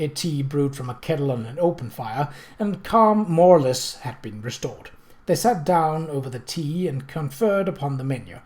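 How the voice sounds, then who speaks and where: Higher-pitched man narrating a novel. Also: dark tone. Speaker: audiobook reader. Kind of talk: scripted storybook narration.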